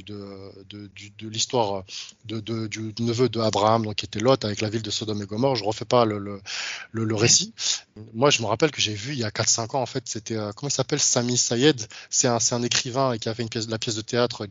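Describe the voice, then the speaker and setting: A man's voice: on the low side, fairly bright tone, no room sound. Guy making his case in a casual interview, keeps restarting words, dull dry lo-fi sound. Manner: argumentative, frequent stammered restarts; informal, addresses interviewer familiarly. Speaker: interview guest.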